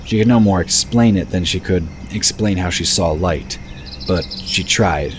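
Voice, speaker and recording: A man's voice: deep voice, a little slow. A man narrating a scripted fantasy story in the third person as the narrator of an audio drama. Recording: background noise of birds and crickets.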